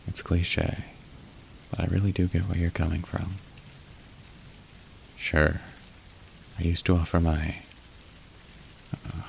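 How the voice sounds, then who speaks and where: Man playing a low, gravelly, tender demon boy. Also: a little hiss or hum. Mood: wistful, candid. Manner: soft, hesitant, confiding, gently wry. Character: weary, tender young male demon working a phone line. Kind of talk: intimate ASMR roleplay acting. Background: rain and thunder.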